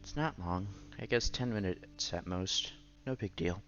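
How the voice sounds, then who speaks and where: A man reading a visual novel aloud as a streamer, with playful, casual asides and quiet game music behind him. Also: low voice, measured pace. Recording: slightly dull, faint game music behind.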